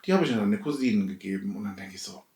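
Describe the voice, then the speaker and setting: A middle-aged man chatting casually as a podcast co-host, telling things in a halting way with repeated words. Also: on the low side, lively intonation, darkish tone, far from the mic. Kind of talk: casual nostalgic chat. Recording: roomy echo, off the mic.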